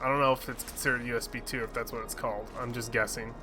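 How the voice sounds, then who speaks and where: A man's voice: mid-range voice, flat delivery, fast talker, music in the background. A man streaming a game, recapping the story in a rambling, joking way with lots of fillers and casual swearing. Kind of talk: casual let's-play commentary.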